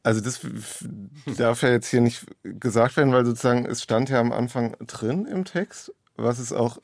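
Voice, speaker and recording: A man's voice: medium pitch, normal speed, gravelly, darkish tone, no echo, studio quality. A man being interviewed as an author, talking reflectively in hesitant, searching explanations full of qualifiers.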